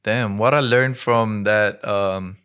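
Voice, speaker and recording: Clear-voiced, laid-back man in his twenties. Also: fairly low pitch, steady tone, takes it slowly, fairly bright tone. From Hong Kong. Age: 20s. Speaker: basketball player, podcast guest. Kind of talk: casual chat. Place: small dim podcast studio indoors. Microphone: close studio mic on boom arm.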